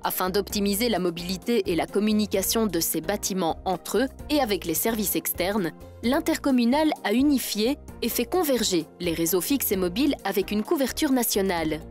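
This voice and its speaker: Female corporate narrator over soft music. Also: medium pitch, animated, a little fast. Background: background music.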